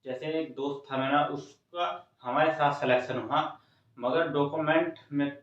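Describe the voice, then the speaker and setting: A man's voice: a little high, fairly even intonation, moderate pace, fairly bright tone. Echoey, distant man explaining to students. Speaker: exam coaching host. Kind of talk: informal explainer of exam news for students. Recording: very echoey room, distant mic, dull sound.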